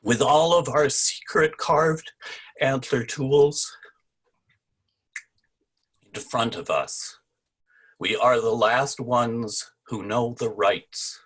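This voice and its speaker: Man, husky voice, slow delivery, casual storytelling, video call. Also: mid-range voice, a bit bright, low-quality recording. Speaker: puppeteer, salon participant. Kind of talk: casual anecdote with a recited play excerpt.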